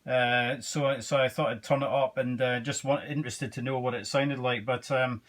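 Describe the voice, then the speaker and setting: A man talking casually as an amateur radio operator, with halting phrasing and radio procedure words. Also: medium pitch, steady tone. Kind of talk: casual ham radio exchange.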